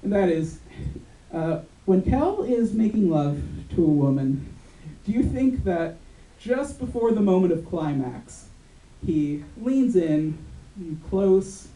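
A young man on a dark club stage, dragging out his stand-up lines in a slow, deliberate deadpan. His fairly high voice rises and falls with animation, and the room echoes around it. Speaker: stand-up comedian. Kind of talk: stand-up routine. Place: dark club stage before a live audience. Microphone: handheld mic on a stand. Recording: echoey room, mic from far off.

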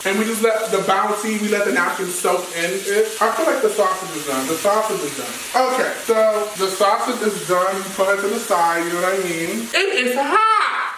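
A young man in his twenties cooking in a home kitchen, talking in a sassy, flamboyant, animated way and swearing freely. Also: sits high. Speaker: vlogger cooking. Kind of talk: casual cooking vlog. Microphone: camera across the kitchen. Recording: echoey kitchen, mic at a distance. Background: food sizzling in a pan.

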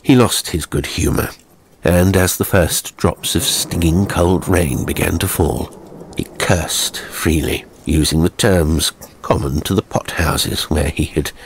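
Deep, slow male storyteller. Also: slight background noise. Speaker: narrator. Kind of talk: scripted horror story narration.

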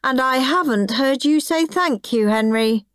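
A woman voicing an exasperated mum in a children's story, firm and bright with polite reproof. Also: high-pitched, unhurried, dry recording, clean studio sound, pitched above the performer's own voice. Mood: reproving.

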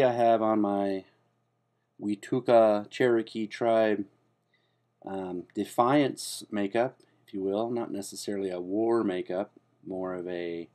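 A man playing a defensive, self-important character in a comedic spoof monologue, talking in a hesitant, rambling way with deadpan earnestness. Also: fairly low pitch, lightly acted. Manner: hesitant and rambling, full of fillers and restarts; deadpan, earnest delivery of absurd claims.

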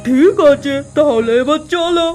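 Woman playing a high-voiced, greedy sorcerer sidekick. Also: measured pace, a little mellow, faint music behind. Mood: eager. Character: greedy male tantric sorcerer, eager sidekick villain. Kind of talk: cartoon fairy-tale voice acting.

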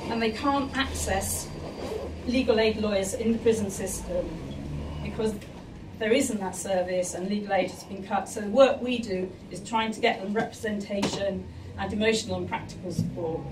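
A woman's voice: medium pitch, moderate pace. A woman working for a refugee charity, giving an earnest, campaigning speech in a crowded art gallery. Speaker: charity worker and event organiser. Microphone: far camera. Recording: roomy, distant, crowd noise.

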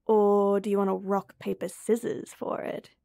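A woman's voice: mid-range voice, little pitch movement, normal speed, vocal fry, dark tone, no echo, close to an everyday voice. A woman voicing a young woman with a crush on her childhood friend, teasing playfully and muttering whispered asides to herself. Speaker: voice actor. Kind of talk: romantic roleplay audio.

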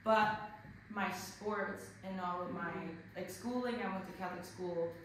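Animated woman panelist in an echoey hall. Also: on the low side, a little slow. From Connecticut, US. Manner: lively storytelling, animated and humorous. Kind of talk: panel discussion. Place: art gallery. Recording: echoey gallery from far off with noise.

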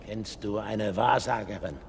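A man's voice: on the low side, a little slow, gravelly, big-room sound, slight background noise. A man playing a troubled, commanding king in a dramatic radio play, imperious and urgent. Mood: insistent.

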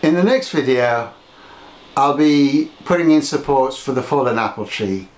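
Older gardener talking to camera, casual storyteller, mid pitch, roomy sound. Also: male voice, animated, normal speed. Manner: chatty, storytelling, gesturing with his hands. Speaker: gardening vlogger. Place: home conservatory, indoors.

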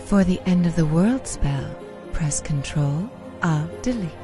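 A flirtatious sorceress from a fantasy game, teases in a fairly low voice. Music is clearly heard behind her. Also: lively intonation, unhurried. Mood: flirty. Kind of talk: video game character barks.

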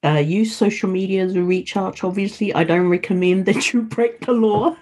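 A woman's voice: mid-range voice, moderate pace, darkish tone, a little hiss or hum. A middle-aged woman speaking earnestly as a guest on a video call, urging listeners on. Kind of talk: earnest interview talk.

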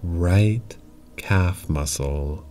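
A man guiding a yoga nidra relaxation in calm, measured instructions, leaving long pauses between short phrases. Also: deep voice, slight background noise. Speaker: yoga nidra meditation guide. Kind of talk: guided meditation, slow calm instruction.

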